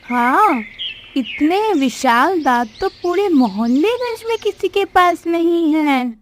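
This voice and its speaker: A woman's voice: very high voice, lively intonation, slow pace, a little hiss or hum, exaggerated voice. A woman voicing chatty, earnest female animals in a children's cartoon fable. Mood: gossipy.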